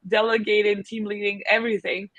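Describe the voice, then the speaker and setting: Interviewgast: Frau mit serbischem Akzent, heller Klang, viele Füllwörter, trockene Aufnahme. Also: female voice, medium pitch, little pitch movement, brisk, a bit bright, no echo, lo-fi sound. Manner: many fillers, thinks aloud. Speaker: agency founder, guest. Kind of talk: casual interview talk.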